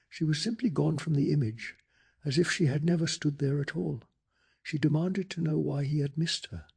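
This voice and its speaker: Male ASMR narrator, hushed and deliberate, extremely husky and crackly, for scripted bedtime stories. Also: fairly high pitch. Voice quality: breathy, gravelly, hushed. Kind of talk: soft-spoken ASMR scripted story narration.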